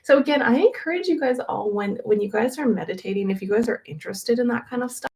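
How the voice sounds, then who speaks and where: A woman with a soft voice, chatting casually to viewers as a tarot reader hosting a livestream, close to her microphone and rambling with many fillers and restarts. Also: a little high, animated, fast talker. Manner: rambling, with many "um"s and "like"s and self-corrections. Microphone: new microphone close to her.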